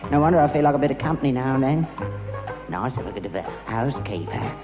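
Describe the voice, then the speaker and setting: A man's voice: medium pitch, expressive, fast, over music, close to an everyday voice. A man plays a wheedling, mischievous old Londoner who scrapes a living as a rag-and-bone man. He grumbles and pleads theatrically in vintage British radio comedy style, on a dull old recording. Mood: lonely grumbling. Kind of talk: 1960s British radio sitcom acting. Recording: dull archive radio recording with loud background.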